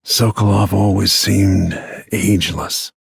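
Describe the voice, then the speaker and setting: A man voicing a grim, battle-worn middle-aged royal protector and assassin in a video game, with terse, brooding, measured delivery and a gravelly, husky voice. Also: low voice, flat delivery, no room sound, studio quality, full character voice. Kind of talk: scripted video game character lines.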